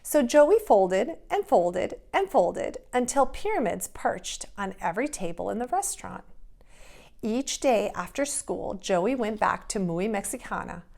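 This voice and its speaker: Female preschool teacher, unhurried and expressive, slight creak, for children's read-aloud and simple craft steps. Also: mid-range voice. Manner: expressive, simple step-by-step phrasing for young children.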